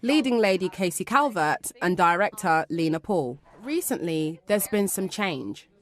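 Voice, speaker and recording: A woman's voice: on the low side, lively intonation, a little slow. A woman presenting a documentary, moving between scripted narration and interview questions.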